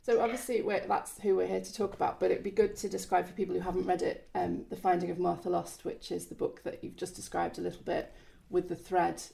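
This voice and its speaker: A woman hosting an interview, relaxed and conversational as she introduces her guest. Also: lowish voice, fairly quick, gravelly. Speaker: radio show host.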